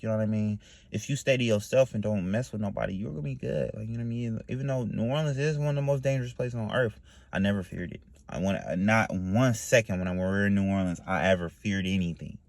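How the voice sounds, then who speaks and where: A man's voice: fairly low pitch, darkish tone, no room sound. A man from Ohio talking to camera in a loose, meandering way, full of fillers and "you know what I mean.". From Dayton, Ohio, US. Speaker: vlogger. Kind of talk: casual rambling monologue.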